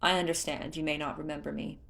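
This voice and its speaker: A woman voicing a formal, world-weary male fish-folk escort in a storybook reading, courteous and measured. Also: sits low, little pitch movement, vocal fry, fairly bright tone. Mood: respectful.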